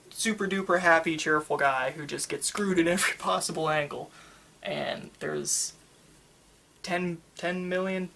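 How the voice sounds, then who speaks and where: ASMR store worker, mid-pitched and creaky, halting casual chat, enthusiastic fan, slight ambient noise. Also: a bit bright. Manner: rambling, halting, enthusiastic about anime. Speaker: manga store worker in a roleplay. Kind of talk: ASMR roleplay, casual customer-service chat.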